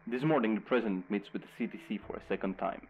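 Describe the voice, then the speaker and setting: A grave radio news anchor, played by a man with a fairly low voice. He delivers scripted bulletins with measured urgency. The sound is slightly muffled, like an old radio broadcast. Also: slight background noise, close to an everyday voice. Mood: grave, urgent.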